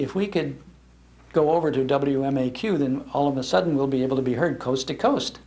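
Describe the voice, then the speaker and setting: An American man telling anecdotes to a gathering as an animated raconteur. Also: mid-range voice. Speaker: speaker, radio historian. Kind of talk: anecdotal storytelling in a public talk. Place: public library talk.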